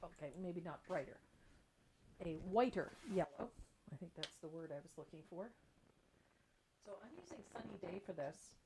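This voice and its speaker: Woman, middle-aged, slightly low pitch, chatty craft tutorial, informal, light noise and clicks. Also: expressive, normal speed, long pauses. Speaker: craft painting instructor and livestream host. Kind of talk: casual live craft tutorial. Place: indoor craft table.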